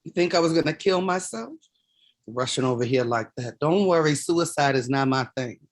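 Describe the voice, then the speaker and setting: A woman's voice: low-pitched, lively intonation, moderate pace. A woman playing a weary, proud, commanding mother in a staged play reading. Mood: weary, irritated. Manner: blunt, commanding. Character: weary, proud mother, playwright at odds with her demons.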